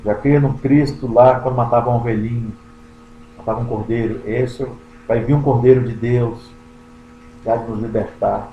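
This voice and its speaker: A Brazilian pastor reading scripture aloud and explaining it verse by verse, stressing key words and stretching some out slowly. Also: male voice, mid-range voice, fairly even intonation, warm tone, lo-fi sound, slight background noise. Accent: Brazilian Portuguese. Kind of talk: Bible study sermon. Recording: echoey room.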